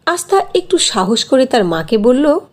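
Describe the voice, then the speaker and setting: A woman's voice: sits high, sing-song, unhurried. A woman narrating a scripted fairy tale for a cartoon as its storyteller.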